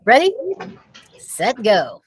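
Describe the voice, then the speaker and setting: A woman's voice: medium pitch, huge pitch swings, slow, breathy edge, exaggerated voice. A woman reading the part of a stern, curt female school principal in a children's audiobook. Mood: brisk, commanding.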